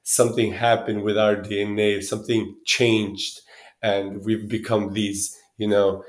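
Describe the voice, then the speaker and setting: A man in his thirties from Sweden speaking reflectively as an interview guest, often pausing and restarting as he searches for words. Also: on the low side, level tone. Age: 30s.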